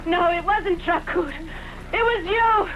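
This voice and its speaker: A high-pitched, indignant young noblewoman played by a woman declaims her accusations with defiance. It sounds like a dubbed old costume epic, with very loud noise behind the voice. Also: slow, dull audio. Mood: accusing. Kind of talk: dubbed sword-and-sandal film melodrama. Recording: noisy fan-dub over old film soundtrack.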